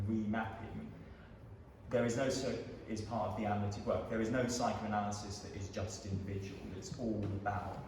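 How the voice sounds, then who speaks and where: A man speaking as a psychotherapist on a panel, explaining in a measured, lecturing way. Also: fairly low pitch, a little mellow. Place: large gallery room. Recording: echoey gallery hall from far off, noisy.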